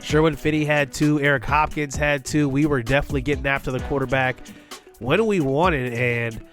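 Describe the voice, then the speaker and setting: A man with a congested voice giving excited play-by-play commentary on a football video game as a gaming channel host. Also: mid-range voice, moderate pace, soft background music. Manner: excited play-by-play, shouting on big plays. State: congested from illness.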